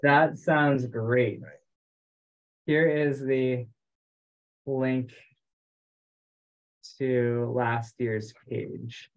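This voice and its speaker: A man's voice: medium pitch, level tone, very slow, long pauses, muffled recording. Man chatting casually on a conference call. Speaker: group call organizer. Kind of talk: casual meeting chat. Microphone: online conference call.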